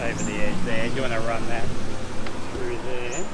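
A man's voice: mid-range voice, flat delivery, moderate pace. A middle-aged Australian man giving casual, matter-of-fact instructions while rigging a small sailboat outdoors. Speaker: vlogger. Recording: loud wind noise outdoors.

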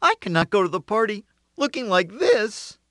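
A woman's voice: medium pitch, big pitch swings, moderate pace, a bit bright, no room sound, clean studio sound, voice pushed up. A woman voicing an eager, fussy male rooster in a children's storybook, pleading as she repeats a growing list of requests. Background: music.

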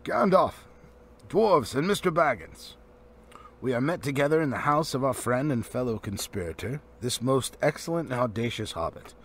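A man's voice: mid-range voice, animated, normal speed, breathy edge. A man voicing a pompous, solemn dwarf leader giving a formal, long-winded speech in a storybook reading. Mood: solemn, grand.

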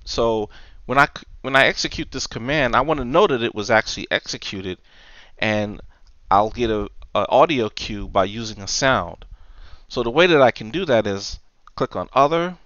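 Guy walking thru software steps, kinda halting, clean smooth voice, slightly dull audio. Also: medium pitch, dry recording. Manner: halting, step-by-step explaining. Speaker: software tutorial presenter. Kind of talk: unscripted screen-recorded tutorial.

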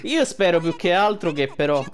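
A man's voice: sits high, lively intonation, moderate pace. A young adult man giving casual, colloquial, emotionally invested game commentary, with some swearing. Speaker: game streamer.

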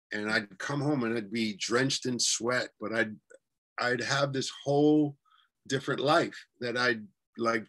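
A man's voice: mid-range voice, sharp tone. A man in his forties with a New York accent, recalling his childhood in a rambling, casual way, full of fillers and restarts, speaking on a video call from a bedroom at home. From New York City. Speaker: guest. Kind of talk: casual interview chat. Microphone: webcam on a video call.

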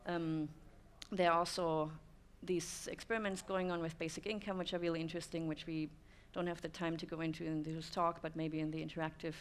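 A woman's voice: low-pitched, little pitch movement, fairly quick, a little hiss or hum. A German woman in her thirties giving a conference talk to an audience, thoughtful, with many "um" fillers. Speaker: speaker. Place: dim stone-walled room with audience.

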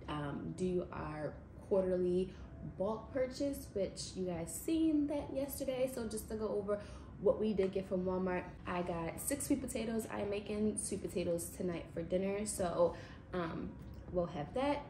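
A woman's voice: medium pitch, far from the mic, background noise. A young woman casually walking through her groceries in a home kitchen, chatty and matter-of-fact, recorded by a camera a few feet away. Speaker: vlogger. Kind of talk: casual grocery haul walkthrough. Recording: roomy kitchen echo from across the counter.

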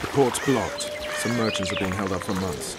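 An actor playing a gruff, wary military commander in a fantasy game, speaking curtly and in a businesslike way. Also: male voice, low-pitched, moderate pace, very noisy, lightly acted. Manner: curt, businesslike. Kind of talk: video game acting. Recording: loud ambience behind voice.

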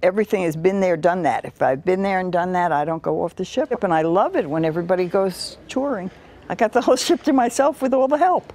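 A woman's voice: on the low side, lively intonation, quick pace, darkish tone, no echo. A woman in her eighties, blunt and feisty, interviewed aboard a cruise ship. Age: 80s. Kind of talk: casual interview.

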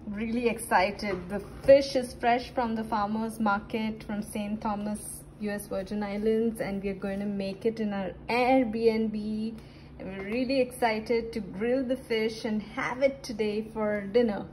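A woman's voice: medium pitch, fairly even intonation, unhurried, a little mellow, slight background noise, poor audio. A woman vlogger cheerfully narrating a home cooking session step by step in a holiday rental kitchen. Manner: upbeat, walks through each step. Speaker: travel vlogger.

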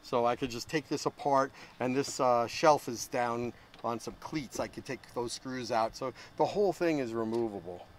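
A man's voice: medium pitch, normal speed, a little hiss or hum. A middle-aged man at an outdoor campground, enthusiastically explaining his trailer to a handheld camera, with frequent restarts. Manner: enthusiastic, explains gear hands-on with many restarts. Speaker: trailer owner. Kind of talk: casual show-and-tell interview.

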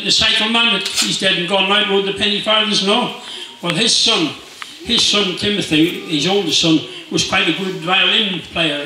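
An older man, telling rambling anecdotes informally to a gathering over a handheld microphone. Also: sits high. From Ireland. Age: 60s or older. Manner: rambling, storytelling. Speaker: club speaker and musician. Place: inside a marquee at a club rally. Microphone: handheld microphone through PA, captured by distant camera. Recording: echoey, noisy PA recorded from far off.

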